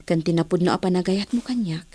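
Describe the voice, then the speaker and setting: A woman with an Ilocano accent playing a gentle, reserved mother in a radio drama, speaking softly and breathily. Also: on the low side, fast, slightly rough, no room sound. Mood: tender. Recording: music behind the voice.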